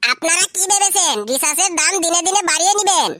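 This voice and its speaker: A performer voicing a cheeky, quick-witted schoolboy prankster with a squeaky, artificially high voice in a comedy cartoon. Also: pushed and bright. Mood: cocky.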